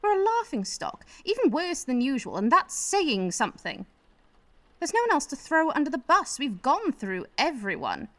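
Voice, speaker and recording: A woman's voice: sits high, wildly swooping pitch, moderate pace, fairly bright tone, dry recording, heavily acted. A woman acting in a radio play as a bitter, scheming politician's wife, sardonic, exasperated and foul-mouthed.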